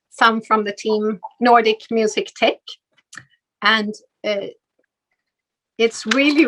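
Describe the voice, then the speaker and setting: A woman's voice: a little high, fairly bright tone, low-quality recording. A woman moderating a live panel discussion, speaking with frequent hesitations and fillers. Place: event stage.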